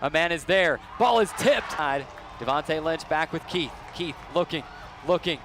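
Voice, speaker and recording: High, dynamic male football play-by-play over crowd noise. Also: animated, moderate pace. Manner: clipped short phrases. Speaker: play-by-play sports announcer. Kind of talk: live American football commentary. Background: crowd noise and music.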